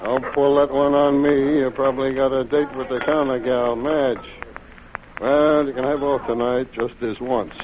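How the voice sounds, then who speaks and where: A man's voice: mid-range voice, flat delivery, moderate pace, background noise, close to an everyday voice. A man playing a knowing, easygoing truck driver in an old-time radio drama, plain-spoken. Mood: knowing, uneasy.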